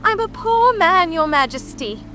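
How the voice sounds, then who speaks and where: A woman's voice: extremely high pitch, very animated, a little slow, pushed and bright, full character voice. A woman voicing a poor, humble, muddled hatter who pleads anxiously in a storybook dramatization. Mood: nervous pleading. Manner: trembling, anxious. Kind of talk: dramatized audiobook.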